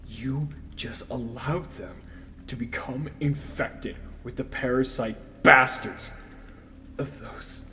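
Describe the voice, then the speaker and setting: Man acting a bitter, wounded son, slow delivery. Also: mid-range voice, a bit bright. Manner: accusing, halting, emphatic. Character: wounded, bitter son confronting his mother. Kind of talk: audio drama acting. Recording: faint background noise.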